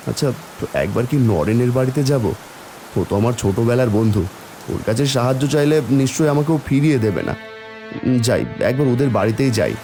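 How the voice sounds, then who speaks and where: Man acting a humble poor villager, kindly father, mellow voice, earnest and pleading, cartoon story dialogue, music behind. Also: medium pitch, expressive, brisk, natural voice. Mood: worried. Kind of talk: animated Bengali moral story dialogue.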